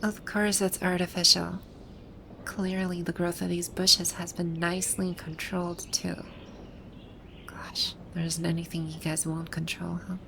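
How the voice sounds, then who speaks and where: A woman playing a haughty, grouchy video game villain boss with a secretly soft side, speaking in imperious, formal grumbles in an ASMR roleplay. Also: fairly low pitch, little pitch movement, a little slow, vocal fry, slight background noise, full character voice. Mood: musing, grouchy. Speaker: audio roleplay voice actor.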